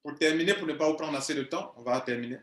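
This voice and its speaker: A man's voice: fairly high pitch, fast, background noise. A man, an online business coach talking straight to his viewers in an insistent, repetitive way. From Ivory Coast. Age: 40s. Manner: insistent, emphatic, repeats himself. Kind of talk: video monologue to viewers. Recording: echoey room.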